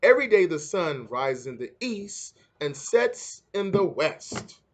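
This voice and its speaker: A man's voice: fairly high pitch, very animated, takes it slowly, heavily acted. A man voicing an encouraging, instructive male schoolteacher in a children's storybook.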